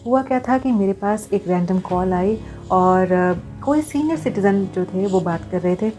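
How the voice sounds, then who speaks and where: Earnest woman, mellow voice. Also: medium pitch, unhurried, faint music behind. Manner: earnest, explanatory, mixing in English phrases. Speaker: vlogger. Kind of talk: personal awareness vlog, talking to viewers.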